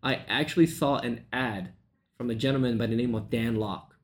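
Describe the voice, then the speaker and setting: A man's voice: medium pitch, a little hiss or hum. Man from Malaysia telling his story. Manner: storytelling, fast run-on sentences with fillers. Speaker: sales coach guest. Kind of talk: podcast interview.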